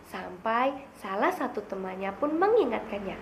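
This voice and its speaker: A young woman telling a children's fable in an expressive storytelling style. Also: mid-range voice, moderate pace, noisy background.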